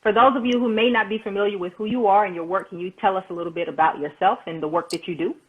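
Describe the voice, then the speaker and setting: A woman's voice: mid-range voice, fairly even intonation, rapid-fire, breathy edge. A woman hosting a casual video chat, effusive in her thanks. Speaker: host. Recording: tinny phone-like line.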